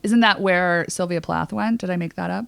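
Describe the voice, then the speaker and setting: A woman's voice: lowish voice, moderate pace, creaky, clear, resonant tone, no room sound. An American woman co-hosting a podcast, talking casually in a chatty, opinionated way with many a "like". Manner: chatty, opinionated, uses "like" often. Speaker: podcast co-host.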